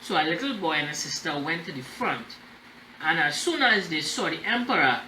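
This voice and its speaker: A man reading a children's story aloud in an expressive, deliberate way, as the host of a storytime. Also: fairly high pitch. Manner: animated, deliberate, checking in with listeners. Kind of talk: expressive read-aloud with explanatory asides. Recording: roomy echo, faint background noise.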